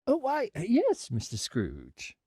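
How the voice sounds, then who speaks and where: A woman's voice: mid-range voice, huge pitch swings, slow, warm tone, slightly rough, no room sound, clean studio sound, heavily acted. A woman playing a polite, astonished charity collector in a radio drama, with a hesitant, theatrical delivery. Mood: hesitant recognition. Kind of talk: community radio drama acting.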